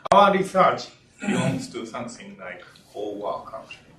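A man's voice: medium pitch, sing-song, moderate pace. Man lecturing in an echoey room. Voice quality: non-native English, drops articles. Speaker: engineering professor giving a lecture. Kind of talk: academic lecture. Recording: roomy, distant lecture hall capture with faint room noise.

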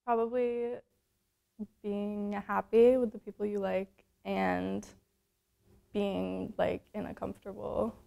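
A woman's voice: mid-range voice, little pitch movement, takes it slowly, creaky, dark tone. A young woman student giving unsure, rambling answers in a documentary interview. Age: young adult. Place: studio with plain backdrop.

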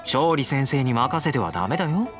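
A man playing a confident, teasing young fighter in an anime game, casual and smooth-talking. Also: medium pitch, big pitch swings, fairly quick, over music, natural voice. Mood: breezy, teasing. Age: young adult. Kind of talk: anime game character acting.

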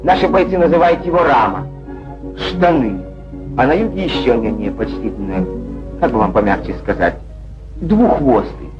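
A man's voice: mid-range voice, big pitch swings, moderate pace, long pauses, muffled recording. A man narrating a scripted 1940s Soviet newsreel in a declamatory, wry manner, on an old film soundtrack. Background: music.

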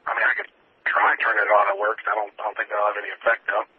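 A man's voice: on the low side, completely flat delivery, rapid-fire. A man working as a railroad dispatcher, talking informally and procedurally over two-way radio. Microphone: radio handset or dispatch console over radio. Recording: tinny, noisy two-way radio transmission.

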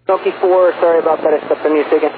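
Man, airport ground control, radio phraseology, phone-like sound, clear static. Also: a little high, fairly even intonation, racing. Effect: aviation radio. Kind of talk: air traffic radio communication. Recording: tinny, noisy air traffic radio.

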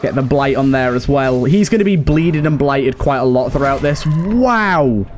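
A man's voice: mid-range voice, expressive, moderate pace, slight background noise. A man giving casual commentary as he plays a game, thinking aloud in an understated way. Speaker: gaming video host.

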